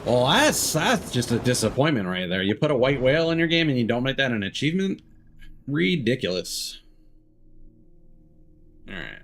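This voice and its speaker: A man's voice: medium pitch, a bit bright. A man casually commentating on his video game livestream in a dry, mildly sardonic way. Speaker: video game live streamer.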